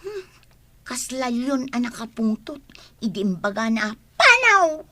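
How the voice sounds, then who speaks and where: Radio drama, woman doing a fairly high, whiny, grumbling daughter. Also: big pitch swings, unhurried, pushed and bright, full character voice.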